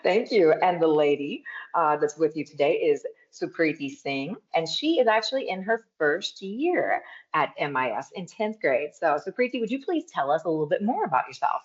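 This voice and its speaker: A woman moderating an online school open house, guiding the audience step by step in a lively way over video call. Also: low-pitched, normal speed. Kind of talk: upbeat event hosting. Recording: dull lo-fi video-call audio.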